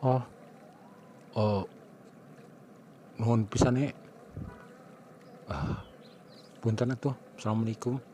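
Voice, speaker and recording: A man's voice: mid-range voice, fairly even intonation, takes it slowly, long pauses, dark tone, slight background noise, natural voice. A man with a Sundanese accent playing an arrogant, scheming young suitor in a comic cartoon, muttering and exclaiming to himself. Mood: calling out politely. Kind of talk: Sundanese comic animated storytelling, acted dialogue.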